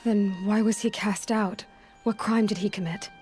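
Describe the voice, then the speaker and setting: A woman's voice: mid-range voice, fairly even intonation, normal speed, darkish tone, natural voice. Determined young huntress, music behind played by a woman. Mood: resolute. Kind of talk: video game cutscene acting.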